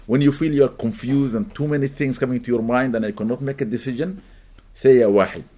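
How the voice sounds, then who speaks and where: Arabic-native man giving an animated lecture. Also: mid-range voice, fast talker. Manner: animated, rising to emphatic peaks, welcomes latecomers mid-talk. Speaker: religious teacher. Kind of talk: lecture to a live audience.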